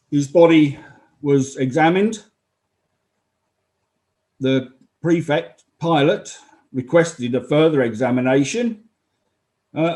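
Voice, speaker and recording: A man playing a sober, earnest Roman army officer giving halting, measured testimony in a dramatized inquest. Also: a little high, a little mellow. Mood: factual, solemn. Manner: halting, measured, solemn witness delivery.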